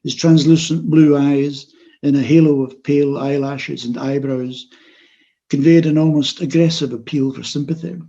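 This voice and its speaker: Older man, author reading his memoir; gravelly, husky, fairly high, dull video-call sound. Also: fairly even intonation, dark tone. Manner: measured, wry literary reading. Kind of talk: reading his own memoir prose aloud.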